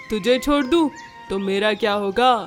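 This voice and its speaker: A woman voicing a menacing, boastful, greedy male leopard in a children's animal story. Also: a little high. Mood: menacing, gloating.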